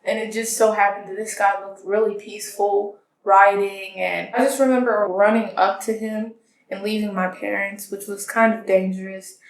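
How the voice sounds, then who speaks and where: A woman's voice: medium pitch, steady tone, moderate pace. A young woman telling personal stories casually and conversationally, as an interviewee. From born in Tanzania, grew up in the United States. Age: young adult. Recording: roomy, echoing interview recording.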